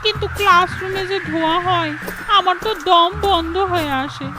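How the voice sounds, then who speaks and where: An actor voicing a cheeky, confiding village schoolchild in an animated story. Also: extremely high pitch, normal speed, music in the background, rough recording.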